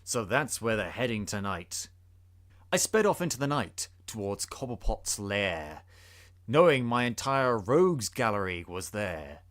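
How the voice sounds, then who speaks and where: Male narrator for rhyming tale: theatrical, suspenseful, forceful, lively range. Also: fairly low pitch, unhurried. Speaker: podcast host. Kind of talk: dramatic storybook reading of rhyming verse.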